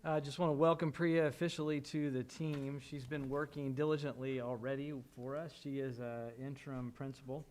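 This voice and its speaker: A school administrator, a man, giving friendly, unhurried remarks at a board meeting. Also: mid-range voice, fairly even intonation, darkish tone.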